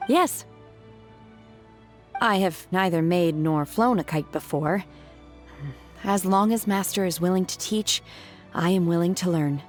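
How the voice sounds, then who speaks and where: Woman playing a low-voiced, solemn disciple. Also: measured pace, pauses often, faint music behind, close to an everyday voice. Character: cool, solemn young woman disciple, blunt and aloof.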